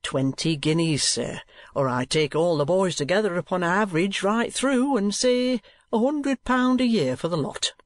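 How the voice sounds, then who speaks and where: Man acting a pompous, high-pitched oily schoolmaster. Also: lively intonation, moderate pace, no room sound, studio quality. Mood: oily, boastful. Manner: pompous, oily sales patter. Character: boastful, ingratiating, hypocritical schoolmaster salesman, middle-aged man.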